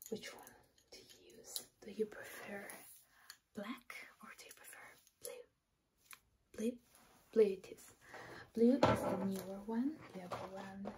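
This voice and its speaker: Slow, whispering woman in ASMR doctor roleplay. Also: medium pitch. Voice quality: breathy whisper, mostly unvoiced. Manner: gentle, reassuring, unhurried explaining. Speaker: ASMR performer playing a doctor. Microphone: close ASMR microphone.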